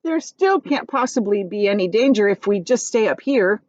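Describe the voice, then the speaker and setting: A woman's voice: medium pitch, expressive, moderate pace, darkish tone, no echo, highs cut off, natural voice. A woman voicing a brash, blustery centipede in a children's storybook, urgent and exclaiming. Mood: scornful bluster. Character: brash, excitable centipede, bluffing through fear.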